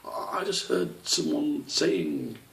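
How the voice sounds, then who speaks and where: A man's voice: a little high, slow, gravelly, voice pushed up, exaggerated voice. A man voicing a young squirrel in a children's story, an inquisitive, nervous child who stammers and blurts things out. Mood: nervous, blurting. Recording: roomy, slightly distant microphone.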